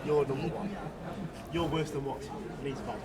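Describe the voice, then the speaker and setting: A man's voice: fairly high pitch, steady tone, normal speed. An English man in blunt, teasing banter with the commentators, swearing freely. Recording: very loud crowd behind.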